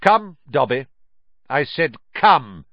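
A man's voice: fairly high pitch, sing-song, takes it slowly, dry recording, full character voice. Storybook villain, read by a man: haughty adult male wizard, imperious, menacing, very dramatic intonation, slightly muffled recording. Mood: commanding. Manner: sneering, imperious, cold.

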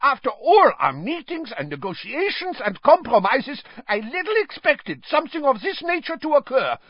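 An oily, scheming headmaster with a voice pitched far above the male narrator who plays him. He lays on false charm, then turns shrill and indignant. It is a dry, slightly dull audiobook recording. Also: very animated, normal speed, slightly rough, no echo, full character voice. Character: oily, scheming middle-aged headmaster, turning furious.

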